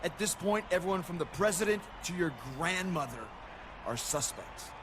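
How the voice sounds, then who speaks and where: A man's voice: sits high, animated, moderate pace, background noise. A man playing a suspicious, confrontational pro wrestler in a video game cutscene.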